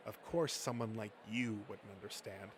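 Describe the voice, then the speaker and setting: A man's voice: fairly low pitch, normal speed, pitched below the performer's own voice, lightly acted. A man voicing a hostile, contemptuous gatekeeping nerd in a comic dub, with a sneering, foul-mouthed manner and noise in the recording. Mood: scornful. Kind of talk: comic dub character acting.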